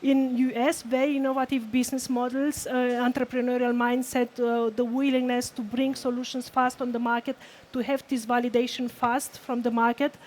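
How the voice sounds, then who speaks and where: A woman from Romania, speaking on an industry panel in a measured way, listing points. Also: on the high side, level tone, darkish tone, a little hiss or hum. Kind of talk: panel discussion.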